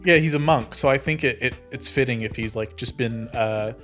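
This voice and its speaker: A man's voice: medium pitch, moderate pace, a bit bright. A man in casual group talk about a tabletop game, with an ordinary mid-range voice. He rambles, restarts his sentences and laughs easily, with faint music underneath. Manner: rambling, self-interrupting, laughs often.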